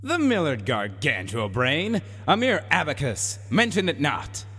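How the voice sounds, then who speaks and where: A man's voice: fairly high pitch, wildly swooping pitch, measured pace, fairly bright tone, breathy edge, full character voice. A man playing a pompous, haughty supercomputer in a science fiction radio drama, declaiming in grandiose archaic phrases. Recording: long reverberant hall echo.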